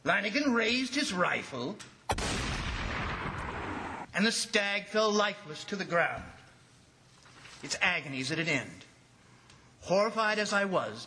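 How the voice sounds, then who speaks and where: A man playing an anxious commissioner in an old-time radio drama, telling of horror in a dramatic, suspenseful and deliberate way. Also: sits high, slight background noise, exaggerated voice. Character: horrified, anxious commissioner recounting an ant invasion. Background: loud dramatic music.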